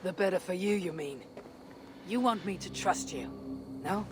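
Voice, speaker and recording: A man's voice: sits high, moderate pace, noisy background, natural voice. A man playing a wary, skeptical adventurer in a video game, probing and challenging, distrustful of outsiders. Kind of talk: dramatic video game dialogue.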